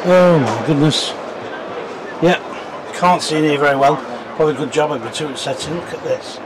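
A man's voice: on the high side, moderate pace. A middle-aged man, chatting nostalgically as he walks around an exhibition hall with his camera. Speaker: vlogger. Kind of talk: walking tour commentary. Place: large indoor exhibition hall. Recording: loud hall crowd noise.

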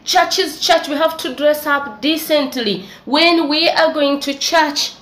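A woman's voice: high-pitched, a little slow, a bit bright. A young adult woman with an East African English accent, giving an exasperated, preachy opinion commentary. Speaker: vlogger. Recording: echoey room with faint noise.

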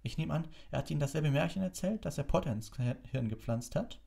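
A man plays a bitter male schoolmaster in a storybook. He hisses and sneers with cutting contempt, creaky and raised above an ordinary speaking pitch. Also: lively intonation, a little fast, dark tone. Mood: scornful. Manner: hissing, snarling contempt.

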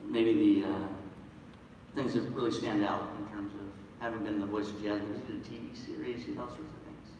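A man's voice: fairly low pitch, steady tone, fairly quick. A man hosting a casual onstage interview in a chatty, encouraging way, heard through the PA from back in the audience. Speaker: panel host and voice director. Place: large convention hall, on stage. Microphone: stage PA picked up from far back in the audience. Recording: echoey hall from far off, noisy crowd.

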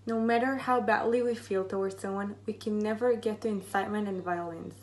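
A teenage female high school student giving a prepared reflection to a camera in a room at home. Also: steady tone, fairly quick. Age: teens. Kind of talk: prepared personal reflection speech. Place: indoors in a bright home room.

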